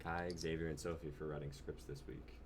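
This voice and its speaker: Low-voiced young man hosting a livestream. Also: little pitch movement, brisk, background noise. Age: young adult. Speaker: host of a script-reading livestream. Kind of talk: casual livestream group chat.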